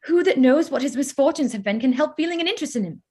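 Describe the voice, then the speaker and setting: A woman playing a proud, sharp-tongued young Regency gentlewoman in a staged reading, speaking in pointed, formal phrases. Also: high voice, animated, quick pace. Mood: indignant. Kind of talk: staged reading of a period drama.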